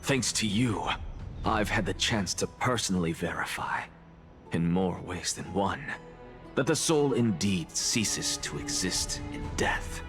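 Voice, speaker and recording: An actor playing an arrogant, theatrical professor obsessed with truth, lecturing grandly and deliberately in a video game. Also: male voice, deep voice, lively intonation, a bit bright, faint music behind. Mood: intense, certain. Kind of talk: dramatic video game voice acting.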